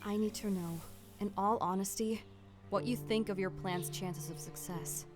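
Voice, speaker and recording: A woman's voice: medium pitch, fairly even intonation, normal speed, over music, natural voice. Woman as exasperated, sardonic big-sister figure. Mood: scolding. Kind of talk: animated comic fandub.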